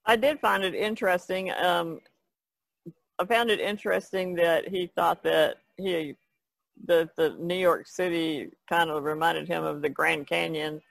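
A woman's voice: fairly low pitch, fairly even intonation, measured pace, creaky, dry recording, low-quality recording. A woman chatting casually on a podcast panel in a tentative, hedging manner. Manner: tentative, hedges with "sort of" and "kinda". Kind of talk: casual discussion.

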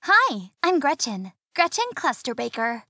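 A blunt, wry young woman, played by an actress in an audiobook. Her voice is high and very close and dry, with a deadpan delivery. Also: unhurried, dry recording, heavily acted. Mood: wry, unimpressed.

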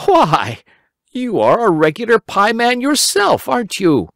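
A man's voice: high-pitched, huge pitch swings, measured pace, gravelly, fairly bright tone, no room sound, clean studio sound, pitched above the performer's own voice, full character voice. A man voicing a simple, hungry, round-faced young boy who whines and pleads. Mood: fretful. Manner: whiny, pleading, easily upset.

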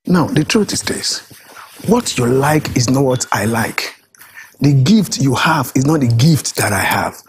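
A man's voice: medium pitch, lively intonation, a little slow, a little hiss or hum. A man from Nigeria, a pastor and youth evangelist, answering a studio interview emphatically and repeating his phrases. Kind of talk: earnest guest answers in an interview.

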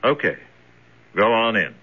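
An actor in a 1970s radio drama playing a cool, commanding kidnapper boss with a measured, authoritative manner. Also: male voice, fairly low pitch, very animated, husky, heavily acted. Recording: dull vintage radio recording.

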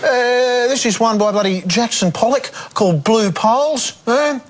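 An actor playing a crude, cocky Australian criminal doing a blunt, deadpan comic auction monologue. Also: male voice, very high voice, a little slow. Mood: scornful. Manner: blunt, foul-mouthed, deadpan drawl. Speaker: sketch performer as auctioneer. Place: stage with podium and red curtains. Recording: laughing audience, clear background noise.

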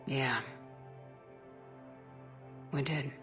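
A woman playing a composed, supportive military starship commander in a video game, measured, sincere and direct. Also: rumbling low, steady tone, a bit bright, faint music behind, full character voice. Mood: caring, serious. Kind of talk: video game dialogue acting.

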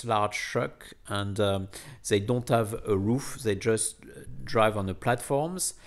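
A man narrating a casual travel vlog in a hesitant, halting way, often repeating words. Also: fairly low pitch. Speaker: vlogger.

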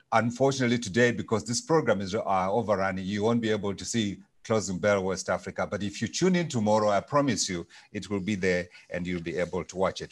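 A male broadcast presenter introducing a guest live, speaking conversationally with frequent fillers. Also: lowish voice.

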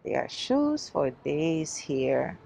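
Lady doing a casual shopping vlog, low creaky voice, kinda musing to viewers, bit of store noise behind her. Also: big pitch swings, takes it slowly, warm tone. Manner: musing, chatting to viewers while browsing. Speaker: shopping vlogger. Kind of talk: casual shop-with-me vlog chat. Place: inside a large shoe store. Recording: faint background store noise.

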